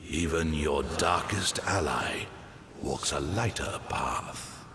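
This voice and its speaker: An actor playing an ancient, immortal tree spirit in a fantasy game, solemn, stately and oracular. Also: male voice, slow pace. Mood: solemn, approving. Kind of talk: fantasy video game dialogue. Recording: long echoing reverb, faint rumble.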